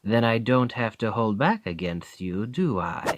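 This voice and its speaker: A wry veteran knight bodyguard. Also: male voice, lowish voice, expressive, no room sound. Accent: French. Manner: wry, testing, measured. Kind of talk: ASMR roleplay acting.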